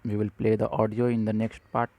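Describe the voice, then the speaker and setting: A man presents a step-by-step tutorial in a low, subdued, mellow voice with little melodic movement. He dictates in short, halting phrases. There is some background noise in the recording. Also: monotone, vocal fry. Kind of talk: step-by-step software tutorial narration.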